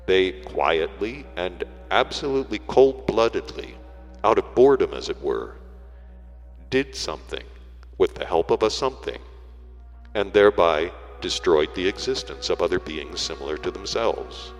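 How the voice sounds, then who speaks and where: A man reading a literary story aloud as an audiobook narrator, in a measured, formal storytelling delivery. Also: low voice, expressive. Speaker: audiobook reader. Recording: echoey hall reverb, music behind.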